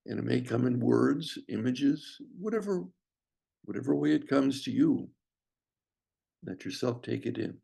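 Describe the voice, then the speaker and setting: A man leading a guided meditation as a breathwork teacher, speaking gently in short phrases with long pauses and a gravelly, husky voice. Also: medium pitch, animated. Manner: slow, pausing delivery in short phrases.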